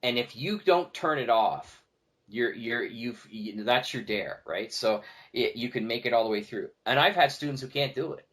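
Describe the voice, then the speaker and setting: A man's voice: medium pitch, moderate pace. Chatty man telling stories on a podcast. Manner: animated storyteller, acting out quoted remarks. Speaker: podcast guest, teacher and audio drama producer. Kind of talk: casual conversational interview talk.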